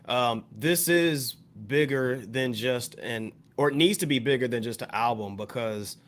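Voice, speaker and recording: Guy on a talk panel, normal pitch, measured and halting, kinda formal wording. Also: a bit bright. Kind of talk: casual panel discussion.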